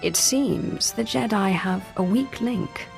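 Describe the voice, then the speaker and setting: An actress playing a cool, calculating Sith inquisitor in a video game, speaking in a measured manner. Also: female voice, mid-range voice, gravelly, faint music behind.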